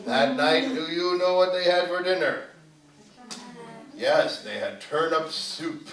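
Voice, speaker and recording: A man telling stories live to children as a puppeteer, with dramatic, drawn-out delivery. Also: fairly high pitch, expressive, fairly bright tone. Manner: dramatic pauses and drawn-out repetition, asks the audience questions. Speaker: puppeteer and storyteller. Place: arts center live show. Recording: roomy hall, distant mic, faint noise.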